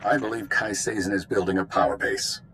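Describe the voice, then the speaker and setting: An actor playing a stern, world-weary spymaster who briefs agents in a clipped, dry, authoritative way in a video game. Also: male voice, lowish voice, fairly even intonation, fairly quick, fairly bright tone, slight background noise, lightly acted. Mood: stern, wry. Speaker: intelligence commander giving a mission briefing.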